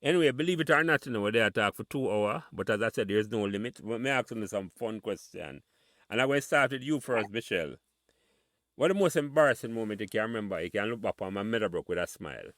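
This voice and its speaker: A man's voice: lowish voice, animated, fast, fairly bright tone, dry recording. A man with a Jamaican accent hosting a casual reunion chat and putting questions to each guest in turn. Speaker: host. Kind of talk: casual interview on a video call.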